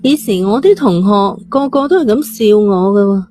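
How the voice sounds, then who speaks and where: A woman's voice: mid-range voice, lively intonation, moderate pace, mellow, close to an everyday voice. A woman voicing a defiant, detached teenage girl in a storybook reading, blunt and offhand. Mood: detached, provoking.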